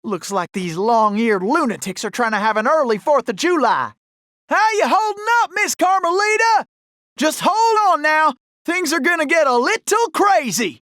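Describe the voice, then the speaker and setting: An actor voicing a cocky, folksy raccoon gunslinger with a Southern cowboy drawl for an animated video game. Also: male voice, extremely high pitch, normal speed, dry recording, clean studio sound, heavily acted. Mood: folksy, musing, then excited, whooping. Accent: American Southern cowboy drawl. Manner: folksy, excitable, exclamatory cowboy slang. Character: cocky, folksy cartoon Old West raccoon gunslinger, male.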